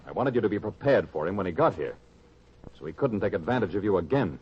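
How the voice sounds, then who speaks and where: A bitter grown farm son voiced by a man in a radio play. The voice is lowish and husky, with wide, indignant swings and a sardonic edge. It sounds dull, like an old broadcast. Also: very animated, fast. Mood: bitter, scornful. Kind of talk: old-time radio acting.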